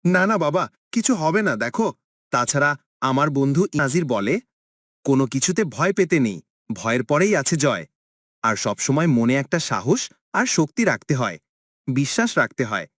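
A man's voice: mid-range voice, sing-song, moderate pace, fairly bright tone, no echo, studio quality. A man voicing a brave, hopeful young village son in a cartoon, speaking in an earnest, reassuring way.

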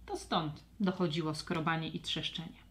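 A woman narrating a scripted children's audio story with expressive, storybook delivery. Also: deep voice, moderate pace.

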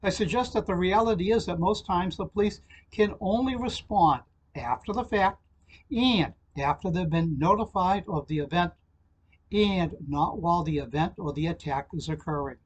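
An older man speaks in a fairly high, husky voice, delivering a prepared alert in a formal, measured way. He slows down to read out numbers and web addresses, recorded at a desk in a small office. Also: poor audio. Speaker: channel host giving a legislative alert. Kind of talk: prepared informational address to camera.

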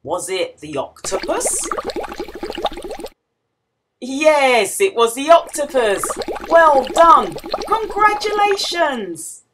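A woman reading a picture book aloud to young children in an expressive, questioning storytelling manner. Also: high voice, very slow, noisy background. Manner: expressive, exclamatory, asks the listener questions.

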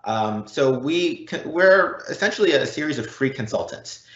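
A man giving an explanatory live online presentation as a technology consultant, often checking in with a quick "right?" or "okay?". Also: mid-range voice, expressive, a bit bright. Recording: roomy, slightly dull online event audio.

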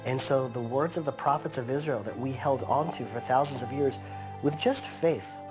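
A man in his thirties gives an earnest, measured sit-down interview from a couch, drawing out key phrases for weight. His voice sits at an ordinary middle pitch, and a clear music bed plays steadily behind him. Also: rough recording. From United States. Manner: earnest, measured, slows to stress points. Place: living room set, seated on a couch.

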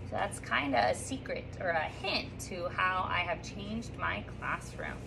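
A woman teacher in her thirties speaks to young pupils in an upbeat, sing-song way, her voice in a middle range. She reads a picture book aloud in a classroom. Steady hiss sits under her voice from a camera a few feet off. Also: medium pitch, measured pace, gravelly. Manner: upbeat and sing-song, reading with lively rises and slips. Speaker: third grade teacher. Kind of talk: welcome message and picture book read-aloud to children. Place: classroom reading corner, indoors. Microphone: phone or camera on a stand a few feet away.

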